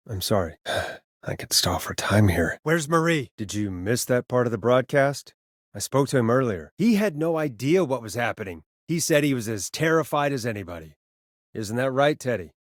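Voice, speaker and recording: A man playing an earnest, rattled radio host in a video game, speaking in a halting, conversational way as he reasons with a killer. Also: medium pitch, expressive, no echo, clean studio sound, natural voice. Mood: tense, questioning. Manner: halting, stammering, conversational delivery. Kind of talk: dramatic video game voice acting.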